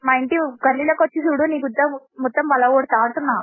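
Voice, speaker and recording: A woman's voice: sits high, steady tone, very fast. A woman on a phone call, angrily swearing at and threatening the caller. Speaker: prank call target. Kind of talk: angry phone argument. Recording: tinny phone line.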